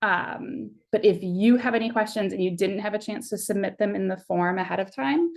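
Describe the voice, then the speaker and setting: A woman's voice: mid-range voice, moderate pace, creaky. A woman hosting an online community meeting on a video call, talking casually with many fillers. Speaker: webinar host.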